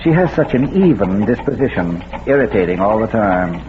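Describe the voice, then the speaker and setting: A man acting in an old radio drama as a genteel, courteous gentleman with a hesitant, dryly witty manner. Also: fairly low pitch, sing-song, moderate pace, phone-quality sound. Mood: wry, courteous. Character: genteel, wry bearded gentleman sleuth. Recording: thin, noisy vintage radio recording.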